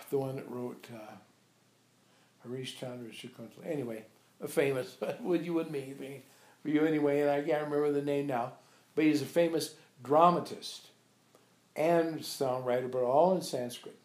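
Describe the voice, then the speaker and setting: A man's voice: medium pitch, normal speed, darkish tone. An older man informally telling anecdotes to a small group, animatedly acting out the dialogue he quotes. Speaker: monk and abbot recalling his teacher. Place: echoey room with a few visitors. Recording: echoey room recording.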